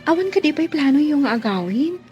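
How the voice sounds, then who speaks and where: An actress playing a shy, deferential young housemaid in a radio drama, coy, hesitant and polite. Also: female voice, sits high, big pitch swings, brisk, darkish tone, highs cut off, faint music behind. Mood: worried, polite. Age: young adult.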